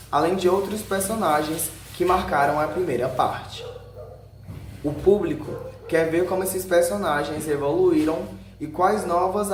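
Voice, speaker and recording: A Brazilian man reading a scripted narration aloud in short headed sections, occasionally stumbling over a word. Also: a little high. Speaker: video narrator. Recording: roomy echo with faint background noise.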